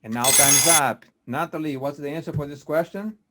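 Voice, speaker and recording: A man moderating an online biochemistry quiz on a video call, speaking in a measured way with frequent fillers. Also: fairly high pitch, heavy background noise.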